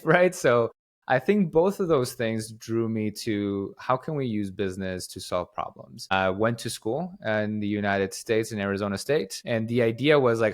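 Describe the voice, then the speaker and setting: A young company head from Guatemala speaks a touch low in pitch. He answers at length in an easy, rambling way, and he keeps restarting his sentences and padding them with "like" and "uh.". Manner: rambling, many fillers and restarts. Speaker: company CEO, podcast guest. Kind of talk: casual interview answers.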